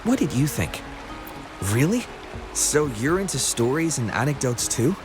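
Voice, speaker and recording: A man's voice: mid-range voice, big pitch swings, unhurried. An actor playing a chatty, wry townsman in conversational video game dialogue. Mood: friendly, curious. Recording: music playing behind the voice.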